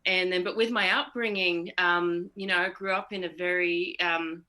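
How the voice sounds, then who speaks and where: Australian female interview guest, bright clear-cut tone, slightly low register, conversational. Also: steady tone, moderate pace. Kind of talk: casual interview chat.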